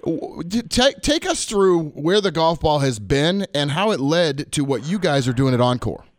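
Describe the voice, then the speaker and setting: A man hosting a radio interview, chatty and jokey with lively jumps in pitch. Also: moderate pace, dry recording, clean studio sound. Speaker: radio show host.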